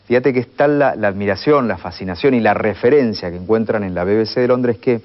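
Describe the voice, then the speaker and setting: A man with an Argentine accent giving a measured, formally worded explanation in a documentary interview. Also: on the low side, lo-fi sound. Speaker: interviewed radio commentator.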